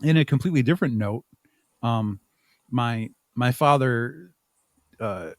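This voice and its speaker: A man's voice: mid-range voice, unhurried, no room sound. A man giving casual, self-deprecating, rambling and thoughtful answers as a podcast guest. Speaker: podcast guest, audio drama creator. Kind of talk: casual interview conversation.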